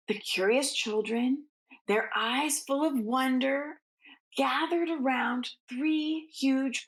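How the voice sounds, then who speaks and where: A woman with a fairly high voice and a noticeable creak reads to children like a schoolteacher. She takes her time, phrasing things expressively and deliberately in an informal storybook read-aloud style. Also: poor audio. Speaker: school teacher reading aloud to students.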